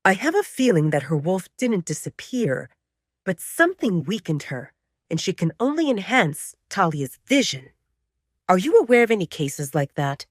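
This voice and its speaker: A woman's voice: fairly low pitch, very animated, moderate pace, no echo, studio quality. A woman voicing a protective male werewolf pack leader in an audio drama, with terse, decisive delivery. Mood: concerned, searching.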